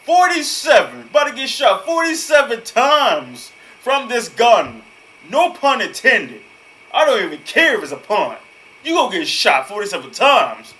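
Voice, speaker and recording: A man's voice: extremely high pitch, sing-song, unhurried, bright, forceful tone, low-quality recording. A man giving casual, emphatic reaction commentary, repeating words for effect. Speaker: host reacting to a horror video.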